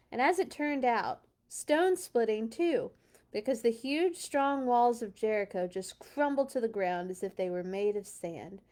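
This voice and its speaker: A woman's voice: medium pitch, darkish tone. A woman hosting a children's read-along, reading a storybook expressively with chatty, playful asides and dramatic emphasis.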